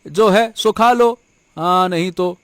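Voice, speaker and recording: A man's voice: high voice, takes it slowly, a bit bright, clean tone, dry recording. A man voicing a rude, impatient village eatery owner in a Hindi cartoon, brusque and nagging. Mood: irritated. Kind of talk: Hindi cartoon story dialogue.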